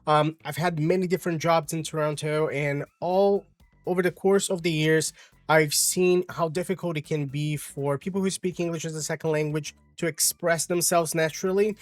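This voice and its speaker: A man's voice: on the high side, fairly even intonation, normal speed, dry recording, soft background music. A man in his forties with a Brazilian Portuguese accent, an English teacher patiently explaining his course step by step.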